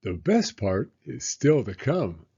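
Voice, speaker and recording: A man voicing an excitable, teasing young girl beaver with an emphatic, giggly manner in a storybook. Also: medium pitch, takes it slowly, gravelly, husky, highs cut off, heavily acted. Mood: knowing.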